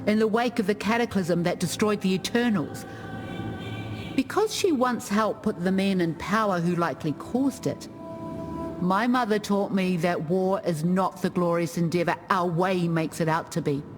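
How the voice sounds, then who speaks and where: A woman playing a proud, wise ancestral chieftain in a video game, speaking in measured, dignified storytelling. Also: lowish voice, over music, close to an everyday voice. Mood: solemn, reflective. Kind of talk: scripted game character monologue.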